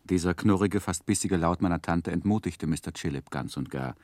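An actor playing a grown man narrating his own life story in a radio drama, with measured, wry delivery. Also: low-pitched, a little mellow, no room sound, lightly acted. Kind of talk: scripted literary narration in 1960s radio drama.

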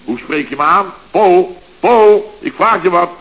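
A man's voice: sits high, expressive, a little slow, gravelly, fairly bright tone, exaggerated voice. An actor playing a bumbling, self-important male contractor boss in a radio comedy, stammering and repeating himself as he gives orders. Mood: exasperated, commanding. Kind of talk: 1970s Dutch radio comedy serial acting. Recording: dull, noisy vintage radio recording.

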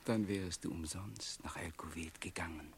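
An actor playing a calm, enigmatic wandering companion in a German radio play, speaking in a measured, cryptic, hushed way. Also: male voice, sits low, breathy edge, no echo, exaggerated voice. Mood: gentle, cryptic.

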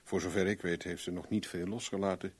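A cool-headed private eye for a radio play, low slightly husky voice, glib and teasing. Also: male voice, steady tone, a little fast. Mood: probing. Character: wry, flirtatious, cool-headed male private investigator for hire. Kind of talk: Dutch radio drama acting.

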